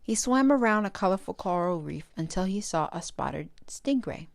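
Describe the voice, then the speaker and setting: A woman reading a children's storybook aloud as a storytime host, with expressive, careful phrasing. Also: lowish voice, moderate pace, gravelly, dry recording, clean studio sound.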